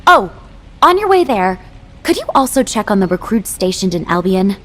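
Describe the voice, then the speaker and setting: A woman's voice: mid-range voice, big pitch swings, moderate pace, a bit bright. A woman playing a considerate military colleague in a video game cutscene. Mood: kindly. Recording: long hall-like reverb.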